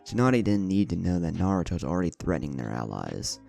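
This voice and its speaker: A man narrates a scripted story in a very low, dark voice. There is a lot of fry in his tone, and faint music plays behind him. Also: very animated, fast talker. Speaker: fan fiction narrator.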